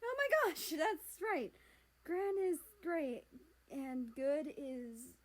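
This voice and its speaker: A woman's voice: sits high, animated, extremely slow, voice pushed up, heavily acted. A woman voicing an eager, bashful, friendly younger brother in a story, in a bubbly way. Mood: flustered.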